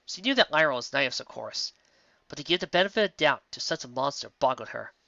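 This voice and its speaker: A man reading fan fiction aloud in an animated way, giving every character a voice. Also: mid-range voice, a little fast, gravelly, no echo. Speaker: audiobook reader. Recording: dull lo-fi audio, dry.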